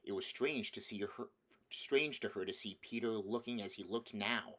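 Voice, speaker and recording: A man's voice: on the low side, normal speed, bright, forceful tone. A man reading a children's novel aloud as scripted storybook narration. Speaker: audiobook reader.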